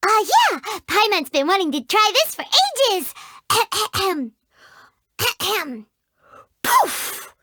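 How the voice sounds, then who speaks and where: Game sidekick: tiny, greedy fairy, very high and bright, bouncy delivery, dry studio sound. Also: female voice, slow, no room sound, exaggerated voice. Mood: chirpy. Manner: speaks of herself in third person, excitable. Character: tiny floating female fairy companion, greedy and chatty. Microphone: studio mic.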